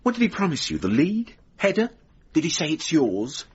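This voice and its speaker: A man acting in a radio drama as a wounded, distrustful hypnotherapist, speaking in a halting, terse, incredulous way. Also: fairly high pitch, huge pitch swings, slightly rough, lo-fi sound, heavily acted. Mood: bitter, incredulous. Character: wounded, distrustful male hypnotherapist, betrayed lover.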